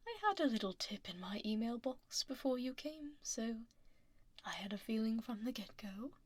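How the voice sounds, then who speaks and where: A woman playing a powerful, composed dragon executive in an ASMR roleplay, speaking softly and breathily up close with a poised, gently commanding manner. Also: a little high, animated, moderate pace, dry recording. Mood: composed, reassuring. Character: powerful composed female dragon executive boss, teasing, exacting. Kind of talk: soft-spoken ASMR roleplay. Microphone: close binaural microphone. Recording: dry close binaural studio recording.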